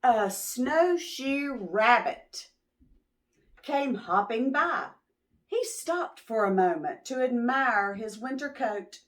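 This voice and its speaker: A woman reading a picture book aloud to young children, expressively, pausing to ask them questions. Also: a little high. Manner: expressive, drawn-out words, teacherly prompting. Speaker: children's read-aloud storyteller.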